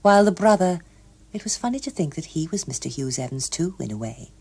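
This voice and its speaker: A British woman narrating a literary story as an expressive audiobook reader. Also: fairly low pitch, fast, slightly rough.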